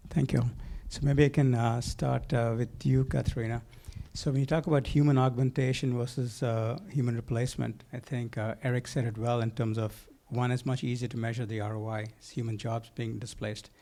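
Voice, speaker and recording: A man's voice: mid-range voice, level tone, brisk, hardly any pauses, warm tone. A man moderating a conference panel, asking questions hesitantly with plenty of fillers. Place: conference stage.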